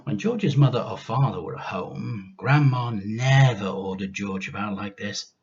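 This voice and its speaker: A man reading a children's storybook aloud like a teacher, with expressive, deliberate and emphatic delivery. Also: on the low side, lo-fi sound.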